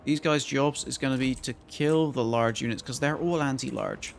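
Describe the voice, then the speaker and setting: Croaky-voiced man streaming a strategy game. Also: medium pitch, moderate pace, a little hiss or hum. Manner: thinking aloud while planning moves. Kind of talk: casual gameplay commentary to chat.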